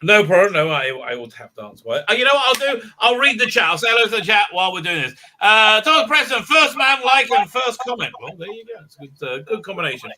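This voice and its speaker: A man with a somewhat high, very bright voice hosts a casual online stream. He rambles, stops and restarts, with plenty of "uh" and "right?". He talks into a computer mic on a video call. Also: very animated, fast talker, hardly any pauses, a little hiss or hum. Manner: rambling, full of fillers and restarts. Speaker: livestream host. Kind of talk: casual chat.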